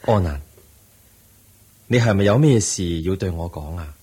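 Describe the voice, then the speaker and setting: The serene, wise Buddha. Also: male voice, sits low, expressive, measured pace, slightly rough, heavily acted. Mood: serene, patient. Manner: gentle, patient, questioning like a teacher. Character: serene wise Buddha, calm male teacher. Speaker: Buddha in a radio play. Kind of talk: 1980s-style radio drama acting.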